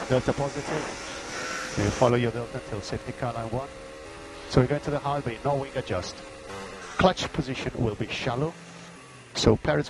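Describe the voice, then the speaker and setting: A man's voice: medium pitch, background noise. A man race engineer reading out gaps, lap times and instructions to his driver over team radio. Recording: team radio with music behind.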